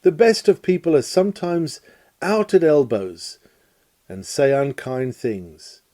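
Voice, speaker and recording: A man reading a lecture aloud as scripted narration, in a measured, emphatic, oratorical manner. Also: on the high side, big pitch swings, dark tone. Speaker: reader of a historic sermon lecture.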